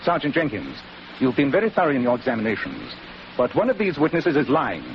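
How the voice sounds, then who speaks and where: An actor in a 1940s radio drama playing an assured, incisive detective with precise English diction and an authoritative manner. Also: male voice, mid-range voice, huge pitch swings, brisk. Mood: probing. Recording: muffled, noisy 1940s radio recording.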